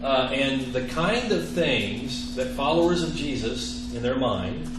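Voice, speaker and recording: A man's voice: medium pitch, expressive, slow pace. Earnest male lecturer in an echoey, noisy room. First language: Italian. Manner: earnest, emphatic, quizzing listeners with rhetorical questions. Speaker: teacher lecturing a class of students. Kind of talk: interactive religious lecture. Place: classroom. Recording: roomy, echoey classroom with clear background noise.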